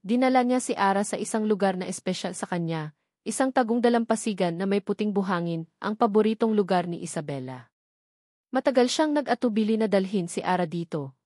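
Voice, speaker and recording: A woman giving scripted narration of a romance story, reading in an even, measured way. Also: medium pitch, no room sound, clean studio sound.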